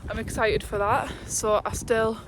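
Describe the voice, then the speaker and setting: A woman's voice: a little high, steady tone, unhurried, background noise. A twenty-year-old woman, chatting casually in a fitness vlog with fillers and laughs, a little breathless from walking uphill. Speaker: fitness vlogger. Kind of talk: casual vlog talk to camera. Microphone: phone held at arm's length.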